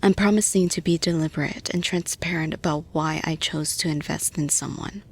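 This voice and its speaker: A woman playing a caring, persuasive boss in an intimate audio roleplay, soft-spoken and breathy, often dropping to a whisper, deliberate and reassuring. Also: low voice, fairly even intonation. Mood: soothing, reassuring. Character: caring, persuasive female boss offering support to employee.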